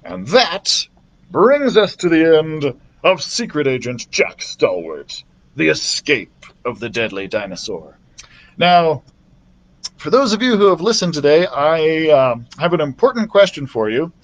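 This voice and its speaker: A man's voice: on the high side, big pitch swings, unhurried, poor audio. A man reading a children's book aloud as a librarian storyteller, friendly and chatty, into a built-in laptop mic.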